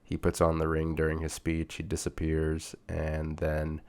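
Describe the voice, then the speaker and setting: A man's voice: very deep voice, monotone, a little slow, a little mellow, clear, resonant tone. A young man chatting casually through a book review, his voice a bit off as he recovers from being sick. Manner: chatty, informal slang, frequent fillers. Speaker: booktube reviewer.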